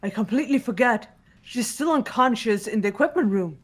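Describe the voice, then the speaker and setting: A woman voicing a steady, serious young woman in an amateur mystery game dub, direct and commanding. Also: fairly high pitch, a little fast. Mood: urgent.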